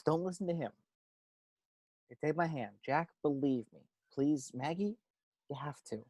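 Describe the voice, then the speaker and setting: A man's voice: on the high side, moderate pace, darkish tone, no room sound, natural voice. A man playing an earnest, urgent heroic father in an amateur read of a fantasy adventure script. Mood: pleading encouraging.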